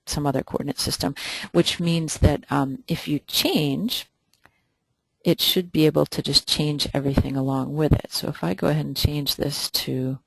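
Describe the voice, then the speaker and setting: A webinar instructor answering viewer questions during a live software demo, hesitant and thinking aloud with many pauses. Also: medium pitch, vocal fry, no echo, poor audio.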